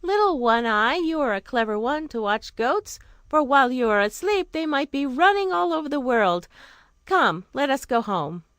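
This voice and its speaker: A woman's voice: high-pitched, sing-song, moderate pace, fairly bright tone, clear, resonant tone, no room sound, clean studio sound, voice pushed up. A woman voicing a clever, polite young girl in a children's fairy tale, with a coaxing, sing-song manner. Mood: sweetly sly.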